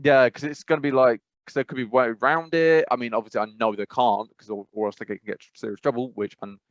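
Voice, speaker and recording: A man's voice: mid-range voice, fairly even intonation, fast talker, dry recording. A man co-hosting a casual gaming podcast, rambling and opinionated, with lots of restarts. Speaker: podcast co-host. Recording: dull, dry sound.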